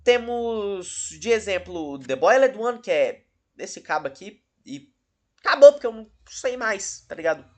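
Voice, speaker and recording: A man's voice: sits high, very animated, unhurried, a little mellow. Rambling, dryly sarcastic Brazilian man. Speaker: host of a video channel about horror cases. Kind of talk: casual, unscripted-sounding video commentary.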